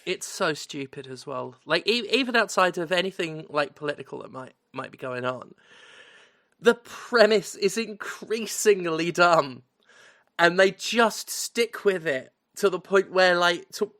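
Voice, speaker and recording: A podcast co-host talking casually and swearing freely, stretching out words for emphasis. The voice sits at an ordinary middle pitch and sounds like someone still getting over an illness. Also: expressive, measured pace, fairly bright tone. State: recovering from illness. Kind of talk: casual chat.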